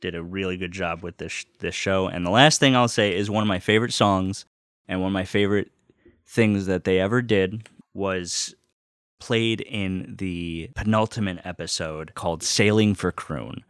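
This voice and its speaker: Deep-voiced man gushing earnestly. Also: normal speed, a bit bright, smooth, no room sound, studio quality. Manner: earnest, gushing, swears casually. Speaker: host. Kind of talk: casual monologue, enthusiastic vinyl review.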